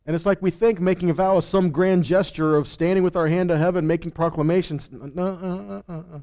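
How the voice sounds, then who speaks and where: Guy with a kinda high voice doing a casual bible teaching, reads verses then chats about them, keeps checking in with questions. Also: fairly even intonation, a little fast, dark tone. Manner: conversational, punctuated with rhetorical questions and check-in words. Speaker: Bible teacher giving a sermon. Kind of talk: informal teaching sermon with scripture readings and commentary.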